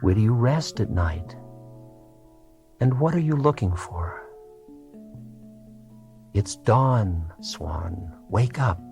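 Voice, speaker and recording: A man reads poetry meditatively in a lowish voice. He goes extremely slowly, leaving space between short phrases. Soft guitar plays behind him. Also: expressive, gravelly. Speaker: poetry reader. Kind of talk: very slow meditative poetry reading. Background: soft guitar music.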